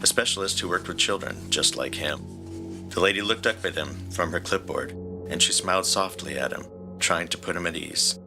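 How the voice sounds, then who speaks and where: A man's voice: low voice, moderate pace, music in the background. A man reading scripted story narration as the narrator. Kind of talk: scripted fan fiction narration.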